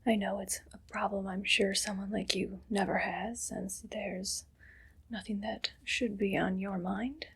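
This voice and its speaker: A woman playing a haughty, condescending evil queen in an ASMR roleplay, speaking in a breathy, deliberate whisper. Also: mid-range voice, little pitch movement, fairly bright tone, exaggerated voice. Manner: slow, deliberate, imperious.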